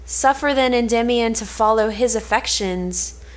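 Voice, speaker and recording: A woman's voice: fairly high pitch, lightly acted. A woman playing a frank, admonishing confidant, reading formal archaic lines in an amateur cold read of an Elizabethan play. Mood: frank, reproving. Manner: measured, formal archaic phrasing.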